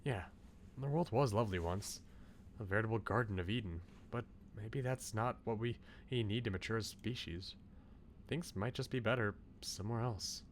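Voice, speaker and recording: A man reading a brooding, self-sacrificing young man's inner thoughts from a visual novel, low and subdued. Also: moderate pace, slight background noise, lightly acted. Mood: reflective. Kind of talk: visual novel read-aloud.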